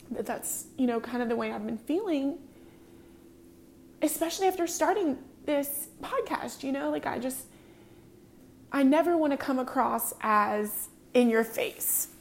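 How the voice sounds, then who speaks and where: A woman's voice: sits high, creaky, a little hiss or hum. A woman in her thirties hosting her own podcast, talking in an earnest, confessional way with many ums. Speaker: podcast host. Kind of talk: casual personal talk.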